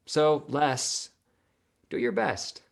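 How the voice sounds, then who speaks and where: A man reading a scripted reflection at a church service, in short, measured, emphatic phrases with pauses. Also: a little high, expressive, gravelly. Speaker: congregation member reading his reflection.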